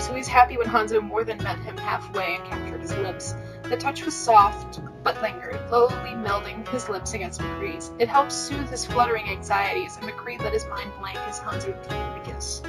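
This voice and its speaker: A woman's voice: mid-range voice, moderate pace, low-quality recording. A woman narrating fan fiction on a podcast in a matter-of-fact, newscaster-like manner. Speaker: podcast reader. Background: plucked guitar music.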